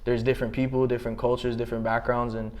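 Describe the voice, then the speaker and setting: A man's voice: medium pitch, quick pace. A young man in his twenties from Canada chatting casually and slangily as an interview guest, in loose bursts. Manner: slangy, talks in loose bursts with frequent "like" and "you know". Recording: steady background noise.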